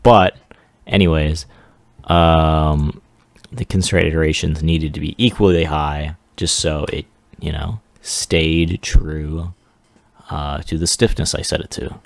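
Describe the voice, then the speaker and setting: A man giving a casual, unscripted software walkthrough as a 3D artist, rambling along in a self-deprecating way. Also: very deep voice, fairly even intonation, slow pace, creaky, no room sound. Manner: rambling, self-deprecating, chuckles at own remarks. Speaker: 3D artist presenting a demo.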